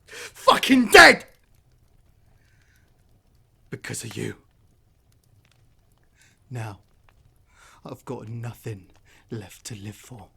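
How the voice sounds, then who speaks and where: An actor playing a grieving, vengeful miner and widowed father, bitter and seething, erupting into shouts in an audio drama. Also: fairly low pitch, gravelly, slightly rough, heavily acted. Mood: grieving rage. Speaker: mine worker.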